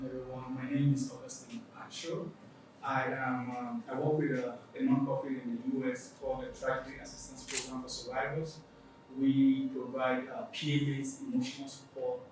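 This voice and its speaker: A man, a nonprofit director, introducing himself in a measured, hesitant way. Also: medium pitch, background noise. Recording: echoey room from far off.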